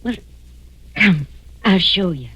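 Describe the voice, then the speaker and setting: An actress playing an anxious mother who pleads haltingly to protect her fugitive grown son, in 1940s radio drama style. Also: on the low side, expressive, pushed and bright, faint music behind, full character voice. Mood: anxious pleading. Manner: halting, distressed, earnest pleading. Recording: dull lo-fi vintage radio recording.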